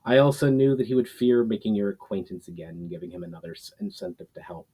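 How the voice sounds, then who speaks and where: A man voicing an ancient, forgiving guardian and mentor in a fantasy story, speaking in a solemn, formal, deliberate way. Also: on the low side, lively intonation, mellow, husky, poor audio. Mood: grave.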